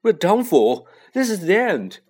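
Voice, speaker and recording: A man's voice: medium pitch, big pitch swings, a little fast, husky. A man voicing a hot-tempered, panicky schoolboy in a storybook, urgent and exclamatory. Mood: despairing.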